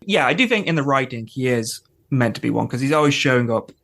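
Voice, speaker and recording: A man's voice: medium pitch, animated, fast, creaky, a bit bright. A man co-hosting a podcast, talking casually and conversationally in a rambling way about television. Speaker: podcast host. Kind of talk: casual TV episode discussion.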